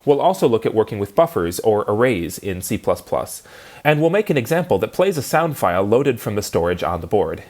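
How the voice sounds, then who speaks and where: Man lecturing with lively pitch movement. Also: fairly quick. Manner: clear, methodical explaining. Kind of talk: prepared technical lecture.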